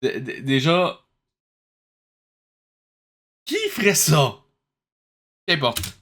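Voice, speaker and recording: A man's voice: on the high side, huge pitch swings, drawn-out words, fairly bright tone. A man with a Quebec French accent hosting a comedic review, giving casual, analytical and sarcastic reaction commentary. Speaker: host of a comedic review show.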